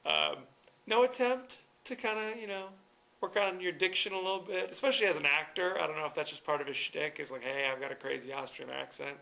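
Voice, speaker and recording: A man hosting his own podcast, reviewing a movie in a loose, rambling chat. His voice sits a little high and has a gravelly edge, with a bright, forceful tone. He keeps things wry and adds chuckling asides. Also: a little fast. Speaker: podcast host. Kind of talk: casual solo movie review.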